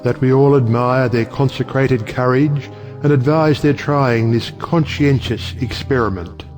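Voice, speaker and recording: An actor playing a wry, provocative middle-aged judge and uncle in an audio play, speaking in a rhetorical, oratorical way. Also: male voice, medium pitch, fairly even intonation, measured pace, darkish tone, natural voice. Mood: persuasive, confident. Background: music.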